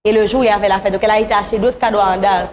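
A woman's voice: medium pitch, fairly even intonation, rapid-fire, a little mellow. A woman, speaking conversationally as a guest in a talk show interview. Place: small talk show studio, on a couch.

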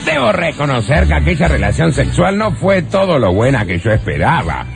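A man's voice: mid-range voice, very animated, moderate pace, gravelly. An actor playing a shameless man narrating his comic memories with an Argentine accent in a radio play. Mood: shameless, rueful. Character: shameless adult man reminiscing as comic storyteller. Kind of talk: scripted comedic radio narration. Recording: loud music behind the voice.

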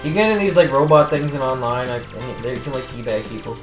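A man's voice: medium pitch, expressive, quick pace. Sarcastic teen guy in a roomy room. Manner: blunt, sarcastic, mumbling. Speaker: co-player. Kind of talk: casual let's play banter. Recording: roomy, with game music.

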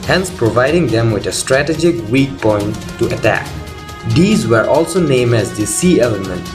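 A man reading scripted documentary narration as the host of a video. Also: mid-range voice. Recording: loud music under the voice. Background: loud background music.

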